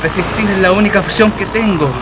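Male actor as early Chilean aviator, highish voice, theatrical, excitable, determined, radio drama, heavy engine noise behind. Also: fast talker, natural voice. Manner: theatrical, exclamatory, talking to himself. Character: Chilean pioneer aviator, brave, excited, anxious but determined.

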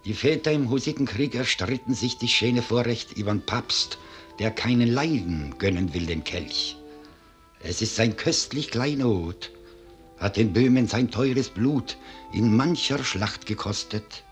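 A man's voice: medium pitch, lively intonation, unhurried, natural voice. A man playing a grave, proud and mournful old Bohemian cellar master in a 1950s German radio drama. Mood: proud, solemn. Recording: 1950s radio recording, music behind.

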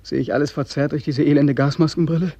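An actor playing a bitter, haunted young drowned soldier in a mid-century radio drama, mockingly deferential, accusing and anguished. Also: male voice, a little high, steady tone, fast, warm tone. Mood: bitter, dreamlike. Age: young adult. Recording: dull, band-limited old radio recording.

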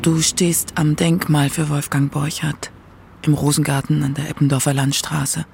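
A woman's voice: deep voice, little pitch movement, moderate pace, vocal fry, noisy background. A woman reading scripted narration for a radio documentary feature.

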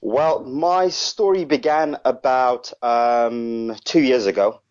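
A man's voice: medium pitch, slow pace, darkish tone, smooth. A man from Zimbabwe, a guest on a radio interview, answering casually and hesitantly, with many ums and restarts. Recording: dull lo-fi remote line.

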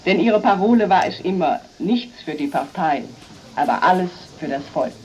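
An actress playing a centrist party leader who delivers a measured, formal speech in a historical radio play. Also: female voice, on the low side, animated, a little mellow, slight background noise, close to an everyday voice, poor audio. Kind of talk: historical radio drama acting.

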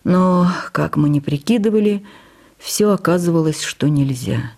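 Mellow woman reading a literary memoir. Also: low voice, lively intonation, unhurried, creaky. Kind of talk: expressive literary memoir narration for radio.

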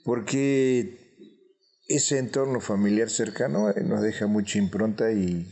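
A man hosting a conversational interview, phrasing his questions in an unhurried, thoughtful way. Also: fairly low pitch, fairly even intonation, warm tone. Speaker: radio interviewer and host.

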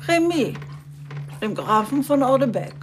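A woman's voice: sits high, sing-song, unhurried, slight background noise. Blunt, plucky old village woman. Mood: blunt, feisty. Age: older. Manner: blunt, folksy, self-assured. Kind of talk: radio drama acting.

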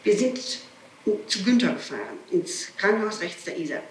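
A woman's voice: on the low side, very animated, normal speed. A woman speaks on an old interrogation tape, sounding distant in an echoing room with faint hiss. She is insistent and agitated, firing off rhetorical questions. Her sentences often break off and start again as she pushes her points. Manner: digressive, breaks off and restarts sentences. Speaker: person being questioned by police. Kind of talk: spontaneous statement in an interrogation. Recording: old archive tape, roomy, distant, hissy.

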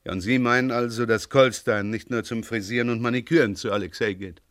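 An actor playing a brisk, sceptical chief inspector in a German radio crime drama, dryly gruff and commanding. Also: male voice, mid-range voice, steady tone, no echo, clean studio sound, natural voice.

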